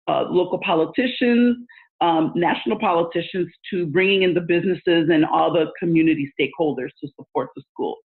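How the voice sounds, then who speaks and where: A woman in her forties whose first language is Spanish, earnestly answering interview questions with frequent fillers. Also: fairly low pitch. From United States. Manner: earnest, thoughtful, many fillers. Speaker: guest, education leader. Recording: tinny phone line.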